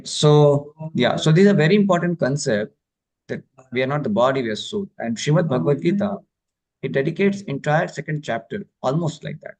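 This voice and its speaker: A man's voice: medium pitch, a little mellow, low-quality recording. A man from India with an Indian accent, teaching a spiritual lesson over a video call, patient and explanatory, often checking that his listeners follow. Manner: patient, explanatory, many fillers and checks for understanding. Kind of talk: online lecture.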